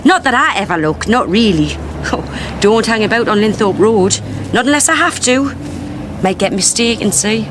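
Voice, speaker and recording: A woman's voice: fairly high pitch, animated, normal speed, natural voice. An actress playing a blunt hard-up northern mother. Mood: wry. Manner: blunt, wry, rambling. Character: hard-up working-class mother, a witness. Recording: radio drama with street noise. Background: street ambience, passing cars.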